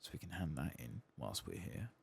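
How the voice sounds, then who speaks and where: A very low-pitched man speaks softly in an ASMR gaming style, with heavy vocal fry. His hushed, unhurried muttering moves lively in pitch, over faint background noise. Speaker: streamer playing a fantasy game. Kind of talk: soft-spoken, whispered ASMR gameplay commentary.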